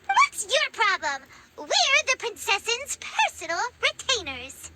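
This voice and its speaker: A woman voicing a tiny, excitable, childlike fairy companion with a squeaky voice in an anime game. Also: measured pace, heavy background noise, heavily acted. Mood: indignant. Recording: music behind the voice.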